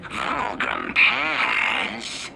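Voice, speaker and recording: A calm alien storyteller in a video game, measured and mysterious. The low voice creaks as it unfolds solemn lore at a slow pace. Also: male voice, slight background noise, exaggerated voice, low-quality recording. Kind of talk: video game character narration.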